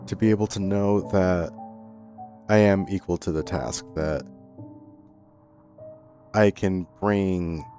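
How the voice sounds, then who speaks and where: A young man talking to his followers in an earnest, thoughtful storytime monologue, pausing and restarting as he searches for words. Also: sits low, fairly even intonation, dark tone. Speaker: content creator. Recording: faint background music.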